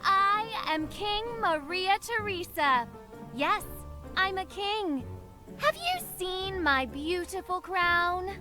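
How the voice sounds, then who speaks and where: A woman's voice: very high voice, sing-song, takes it slowly, over music, heavily acted. An actress playing a proud, theatrical puppet queen in a video game, speaking in a grand, declamatory way. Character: proud theatrical queen puppet who calls herself king. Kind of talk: storybook puppet-show acting in a video game.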